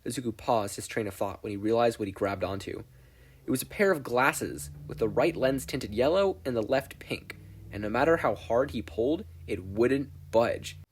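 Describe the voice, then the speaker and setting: A man reading fiction aloud as a narrator, with an expressive, scripted storytelling delivery. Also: medium pitch, normal speed, slight background noise. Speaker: audiobook narrator.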